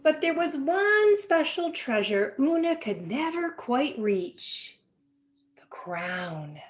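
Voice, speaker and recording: A woman reading a children's picture book aloud in an expressive, animated way with dramatic pauses. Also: sits high. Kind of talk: expressive children's storybook narration.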